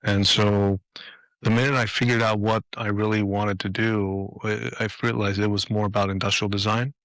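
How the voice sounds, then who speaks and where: A man in his fifties from Greece talks as a guest on a podcast, reflective and pausing to restart his sentences. His voice sits low, and the recording is dry and close. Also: level tone, a bit bright, dry recording, poor audio. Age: 50s. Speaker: guest, design leader. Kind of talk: interview answers. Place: apartment.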